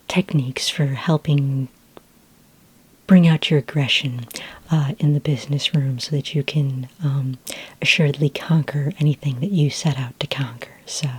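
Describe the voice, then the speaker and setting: A woman plays a friendly librarian in a soft-spoken ASMR roleplay. Her voice is low and very mellow, mostly a breathy whisper. She explains things gently and helpfully, with a slightly hesitant delivery. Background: computer keyboard typing.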